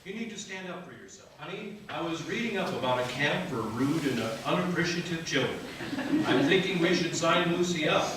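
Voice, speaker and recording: An exasperated husband and father played by a man makes his firm, scheming case in a slightly high voice. It is radio comedy in a reverberant, noisy theater. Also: animated, brisk, close to an everyday voice. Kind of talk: stage radio comedy acting. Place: live radio theater stage. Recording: echoey theater, far mic, noisy.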